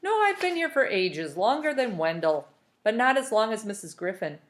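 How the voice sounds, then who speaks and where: A woman's voice: fairly high pitch, sing-song, normal speed, voice pushed up. A woman reading a storybook aloud as a young girl with a casual, matter-of-fact manner. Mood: offhand, friendly.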